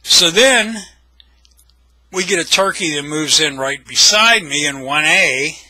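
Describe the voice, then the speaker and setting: A man's voice: fairly high pitch, slow pace, sharp tone, no room sound, poor audio. An older man with an American accent, telling anecdotes casually in a blunt, wry, salty way. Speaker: storyteller, video blogger.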